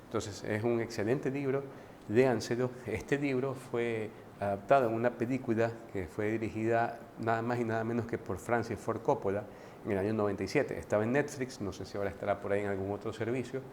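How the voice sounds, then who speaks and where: Low-voiced man chatting about a book. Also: steady tone, a little fast, a little mellow, slight background noise. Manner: conversational, frequent restarts and tag questions. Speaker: book reviewer. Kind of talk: casual book commentary.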